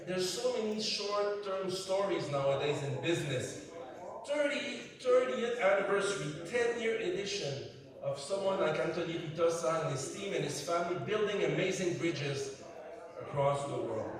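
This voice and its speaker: A man in his fifties from Canada with a French Canadian accent, giving an emphatic conference speech in short, repeated phrases; French is his first language. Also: sits high. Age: 50s. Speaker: keynote speaker. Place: large conference hall. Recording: very echoey hall, speaker far from mic.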